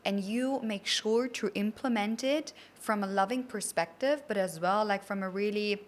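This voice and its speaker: A woman's voice: medium pitch, normal speed. A woman hosting a podcast, chatting casually and enthusiastically with an effusive, complimentary manner. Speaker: podcast host. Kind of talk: casual enthusiastic interview chat.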